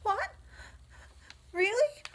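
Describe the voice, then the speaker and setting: A shrill, squealing young pig from a storybook, always on the edge of panic, as a woman reading aloud puts him on. The voice sits far above her usual pitch and swoops widely, and it is drawn out slowly. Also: sing-song, sharp tone, full character voice. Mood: terrified. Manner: high shrill wailing. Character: young male pig, frightened and pleading.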